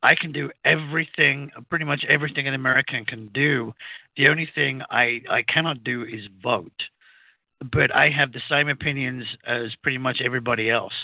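A man's voice: mid-range voice, steady tone, no echo. Radio co-host, male, raised abroad; hesitant, filler-heavy chat over a narrow dry line. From outside the US. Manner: halting, many fillers and restarts. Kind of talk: casual radio talk. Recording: phone-like narrow sound, dry.

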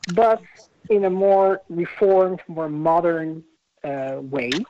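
A speaker in a radio interview, talking in a measured, halting way with many restarts. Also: mid-range voice, fairly even intonation, slight background noise. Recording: muffled lo-fi radio audio.

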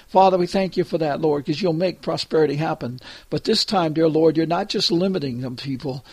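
An older man leading a long spoken prayer, repeating "dear Lord" between phrases, with halting restarts. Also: fairly high pitch, darkish tone, husky, no room sound. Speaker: prayer leader.

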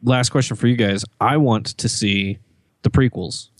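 A man's voice: medium pitch, normal speed, dry recording, studio quality. A man co-hosting a podcast in casual chat. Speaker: podcast co-host.

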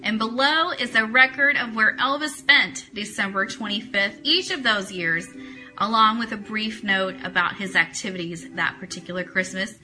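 A woman's voice: medium pitch, moderate pace, pushed and bright, low-quality recording. A woman hosting a casual live-streamed talk show, chatting enthusiastically, greeting viewers and reading aloud. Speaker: host of an Elvis talk show. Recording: music playing in the background. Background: Christmas CD music.

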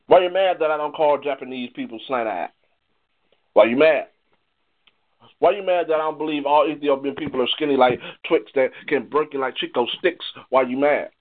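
A man's voice: on the high side, normal speed. A man performing spoken word poetry in an emphatic, confrontational manner full of rhetorical questions. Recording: thin, phone-like band-limited sound.

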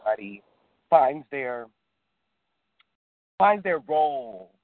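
A man with a husky voice, co-hosting a casual call chat in an earnest, slow way over call audio. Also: medium pitch, sing-song, no echo. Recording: tinny phone-like call audio, dry.

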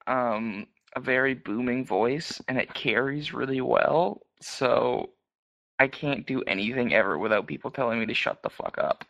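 A man podcasting from a room at home, hushing himself as he talks. His voice is gravelly, and the recording sounds dry and close. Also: medium pitch, moderate pace, dry recording, highs cut off. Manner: talking quietly to keep his voice down. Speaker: podcast co-host. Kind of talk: casual chat.

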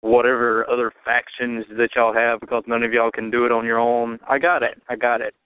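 A man's voice: medium pitch, flat delivery, a little fast, clear, resonant tone, no echo. A man cutting a boastful, defiant wrestling promo as a challenger, talking over his rivals. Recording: tinny phone line.

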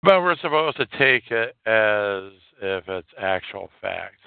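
A male podcast host talks through the news casually and haltingly, taking his time between phrases. His voice is smooth and fairly low, heard through a narrow, phone-like connection. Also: animated, dry recording. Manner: halting, hesitant delivery with pauses. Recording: narrow phone-like audio, dry.

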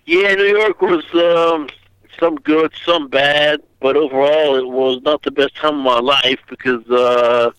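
Bright, clear-voiced older man on a call. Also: fairly high pitch, fairly even intonation, no room sound, low-quality recording. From Austria. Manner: rambling, halting with many fillers. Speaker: guest author. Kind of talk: phone interview. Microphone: internet call audio.

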